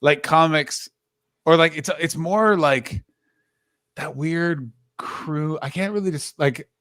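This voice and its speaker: A man from Canada telling stories in a casual chat, animated and acting out what people said. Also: fairly high pitch, takes it slowly, no echo, studio quality. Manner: animated storytelling, swearing, acts out quotes.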